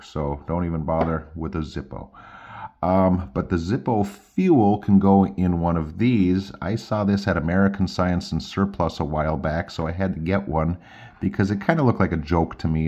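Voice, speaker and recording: A man's voice: deep voice, a little mellow. A middle-aged man casually demonstrating and reviewing outdoor gear, recorded indoors at a tabletop with a slightly dull sound.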